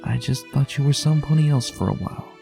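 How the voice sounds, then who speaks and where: A man voicing a kind but anxious young female unicorn who speaks gently and hesitantly. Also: medium pitch, fast talker, creaky, soft background music, voice pushed up, close to an everyday voice. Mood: gentle.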